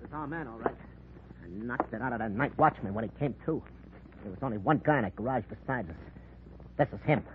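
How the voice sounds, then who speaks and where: An actor playing a crude, impatient, trigger-happy henchman with slangy, excitable speech in a 1940s radio drama. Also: medium pitch, fast, lightly acted. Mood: impatient, threatening. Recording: muffled, noisy 1940s radio recording.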